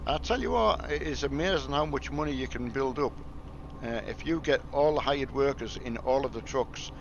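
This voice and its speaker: A man chatting casually in a rambling, halting way as he livestreams a driving game. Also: gravelly, dull audio. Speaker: game streamer. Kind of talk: casual livestream chat while playing a truck game. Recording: loud vehicle engine rumble behind. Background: truck game engine and road noise.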